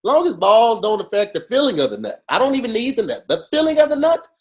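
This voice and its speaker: Male podcast co-host, high lively voice, crude jokey banter. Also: fast. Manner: animated, profane, jokey storytelling with mocking quoted voices. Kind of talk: casual comedic chat.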